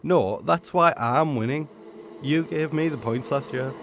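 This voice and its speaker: A man's voice: on the high side, a little slow. A man acting a cocky, teasing summer spirit in a comedic radio drama, slangy and mocking. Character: Summer, cheeky laddish male season, teasing and cocky. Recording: noisy background with insect buzz.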